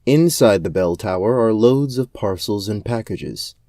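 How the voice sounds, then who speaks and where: A man's voice: lowish voice, lively intonation, unhurried, dark tone, no echo. A man giving scripted narration for a fantasy audio drama. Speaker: narrator and game storyteller.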